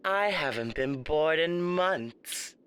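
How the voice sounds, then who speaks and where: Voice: mid-range voice, animated, takes it slowly, fairly bright tone, smooth. An actor playing a smug, sadistic male radio demon in an ASMR roleplay, theatrical, mock-polite and taunting.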